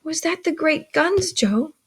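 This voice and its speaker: A small, curious storybook boy, acted out by a female reader. The voice sits high, questioning and a little timid, polite but persistent. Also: expressive, a little slow. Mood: curious, puzzled.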